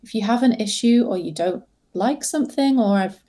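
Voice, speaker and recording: A woman's voice: on the high side, lively intonation, moderate pace, warm tone. An English woman being interviewed as a social media manager, talking casually with many fillers and restarts. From England.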